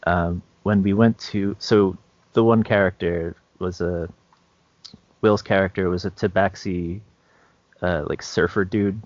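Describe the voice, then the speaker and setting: A man's voice: low voice, steady tone, measured pace, highs cut off, slight background noise. A man co-hosting a podcast as a game master, chatting casually in a hesitant, understated way. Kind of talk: casual chat.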